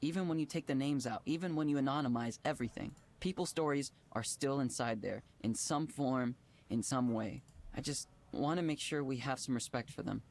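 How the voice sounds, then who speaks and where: A man's voice: mid-range voice, a little fast, hardly any pauses, natural voice. An actor playing an earnest, conflicted young man who leads a tech program, hesitant and apologetic, in naturalistic visual novel dialogue. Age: young adult. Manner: earnest, hesitant, self-doubting.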